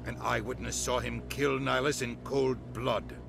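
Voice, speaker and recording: Assertive human ambassador, mid-pitched. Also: male voice, flat delivery, moderate pace, gravelly, music in the background. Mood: indignant. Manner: firm, clipped, assertive. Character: indignant, politically minded human male ambassador. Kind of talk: dramatic video game dialogue.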